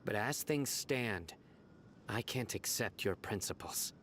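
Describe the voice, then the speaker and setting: Low, stern, principled lawman. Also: expressive, fairly bright tone, slight background noise. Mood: stern, firm. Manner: grave, measured, formal. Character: stern male former law enforcer, adult, principled and cautious. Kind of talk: dramatic video game dialogue. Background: music.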